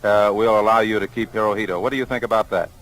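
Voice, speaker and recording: A man working as a 1940s radio street interviewer, deliberate, repeating answers back as he questions passers-by. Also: fairly low pitch, steady tone, a bit bright, rough recording. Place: outdoors on a downtown street. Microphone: broadcast street microphone.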